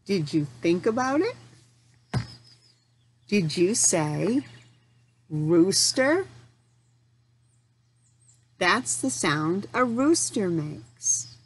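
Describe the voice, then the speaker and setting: A woman's voice: mid-range voice, very animated. Middle-aged lady teacher talking to little kids, really up and down voice, asks lots of easy questions, bit of background noise. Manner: questioning, encouraging, simple phrasing with pauses for answers. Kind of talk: interactive lesson for young children.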